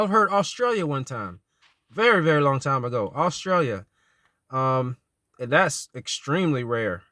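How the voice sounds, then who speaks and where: A man's voice: mid-range voice, sharp tone, dry recording, studio quality. A middle-aged American man talking casually as a CB radio hobbyist, often repeating his phrases. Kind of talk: casual vlog talk and radio calling.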